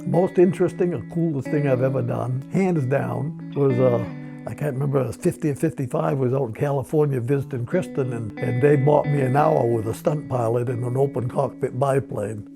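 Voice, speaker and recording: A man's voice: mid-range voice, brisk, creaky. A man, reminiscing in an interview with dry humor. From Maine, USA. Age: 70s. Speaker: grandparent being interviewed. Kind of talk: personal reminiscence. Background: acoustic guitar music.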